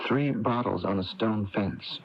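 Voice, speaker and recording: Withdrawn young man for radio play: fairly low, reticent, quietly obsessive; dull AM tape. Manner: halting, reticent, earnest. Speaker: radio drama actor. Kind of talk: 1980s radio drama acting. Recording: dull, noisy AM radio recording.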